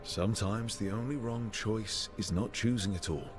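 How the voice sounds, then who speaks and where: A male actor plays a steady fantasy hero and leader in a video game. His voice sits fairly low, and he speaks calmly, measured and supportive, with music underneath. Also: close to an everyday voice. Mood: reassuring. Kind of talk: dramatic video game dialogue.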